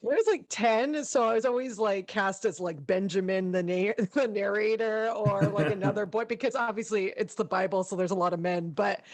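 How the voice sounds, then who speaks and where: Chatty low-voiced woman guest. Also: normal speed, hardly any pauses. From British Columbia, Lower Mainland. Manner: chatty, laughs often, uses "like" a lot. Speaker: podcast guest, broadcaster. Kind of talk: casual interview chat.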